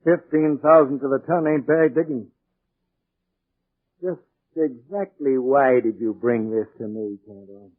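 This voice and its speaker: An American actor playing a big, seasoned, skeptical but good-natured mining operator in a 1940s radio drama. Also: male voice, mid-range voice, steady tone, a little slow, natural voice. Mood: wary, probing. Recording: tinny narrow-band 1940s radio recording.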